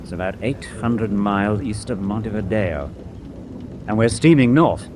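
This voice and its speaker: A man playing a confident, practical British seafaring officer in a radio war drama, brisk and reasoning. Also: fairly low pitch, animated, background noise, close to an everyday voice. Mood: brisk, intent. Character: confident British naval man, practical, level-headed leader.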